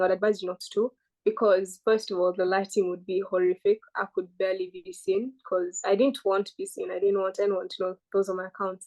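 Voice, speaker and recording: A woman's voice: mid-range voice, a little mellow, rough recording. Female guest from Kenya, British-sounding accent, chatty and rambling. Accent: British-sounding English. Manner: chatty, rambling, self-deprecating. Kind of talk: casual interview answers.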